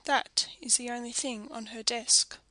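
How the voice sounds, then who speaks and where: A woman playing a coolly wicked young woman in an audiobook drama, speaking with measured, defiant calm. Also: on the high side, no echo. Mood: cold, defiant.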